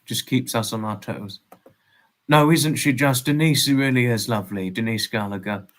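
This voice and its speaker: A man's voice: medium pitch, normal speed, a bit bright. A man in his twenties chatting casually as a livestream host, reading and answering viewers, effusively polite and wordy, often repeating his phrases.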